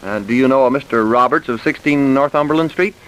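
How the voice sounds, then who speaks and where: An actor playing a formal, doubting British police detective in an old-time radio drama, measured and dry. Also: male voice, medium pitch, fairly bright tone, smooth, natural voice, poor audio. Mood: polite, businesslike.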